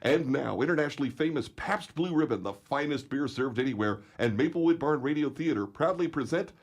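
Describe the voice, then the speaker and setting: A man announcing a radio theater program, formal and measured. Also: fairly high pitch, animated, soft background music.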